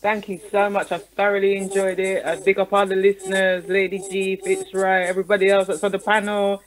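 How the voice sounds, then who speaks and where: A woman's voice: medium pitch, flat delivery, fairly quick. A woman guest on a radio talk show over a call, answering in short agreeing replies and sending blessings. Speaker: guest, promoter. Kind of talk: casual radio chat. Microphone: video call.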